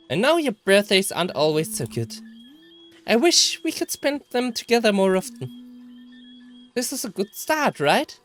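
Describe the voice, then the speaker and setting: Woman putting on a high, excitable young cousin. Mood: affectionate. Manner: slangy, exuberant, teasing. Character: excitable, affectionate cousin, a young bro-talking birthday girl. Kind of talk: acted visual novel dialogue.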